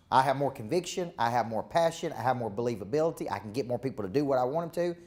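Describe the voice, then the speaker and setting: A man's voice: mid-range voice, darkish tone. A man with a Southern accent giving a live seminar talk as a leadership coach, emphatic and repetitive with a preacher-like cadence.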